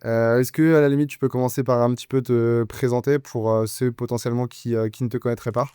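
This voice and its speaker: A man hosts his own channel, rattling through a scripted intro and sponsor reads at a quick clip. His pitch sits in the middle of the usual male range, and his delivery is brisk and promotional. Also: fairly even intonation, dark tone, smooth. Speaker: YouTube host.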